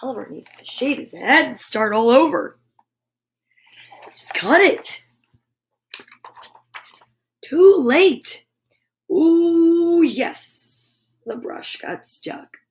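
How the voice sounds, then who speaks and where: A woman hosting children's storytime, reading a picture book aloud with playful, drawn-out sound effects and repeated words. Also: high voice, expressive.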